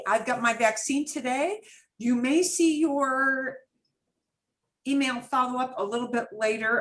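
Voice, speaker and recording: A woman's voice: medium pitch, fairly bright tone. A woman teaching a beginner Spanish class over a video call, chatty and patient, mixing Spanish phrases into her English explanations.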